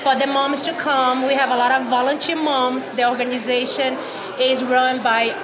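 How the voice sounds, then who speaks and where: A woman's voice: sits high, little pitch movement, moderate pace, a crowd in the background, rough recording. A woman with a Brazilian accent, a native Portuguese speaker, explaining community programs conversationally as an interview guest. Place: video call.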